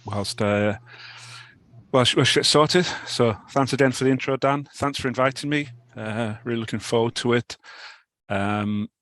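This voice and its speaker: A middle-aged British man with a cold, giving a talk over a video call, halting with many restarts. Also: medium pitch, fairly bright tone. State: has a cold, clears throat. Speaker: guest speaker. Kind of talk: online talk presentation.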